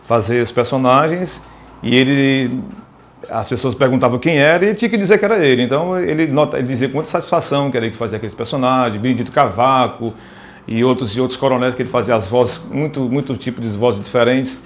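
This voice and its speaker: Male interviewee, medium-pitched, unscripted reminiscing that circles back and repeats. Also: a little hiss or hum. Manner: halting, repetitive phrasing. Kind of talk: spontaneous personal recollection.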